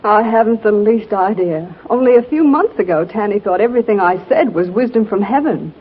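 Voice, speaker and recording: A woman's voice: mid-range voice, animated, a little fast, a little hiss or hum, lightly acted. An actress playing a cheerful, wryly tolerant middle-aged mother in an articulate 1940s radio drama style. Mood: wry amusement. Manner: articulate, wry. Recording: muffled old 1940s radio recording.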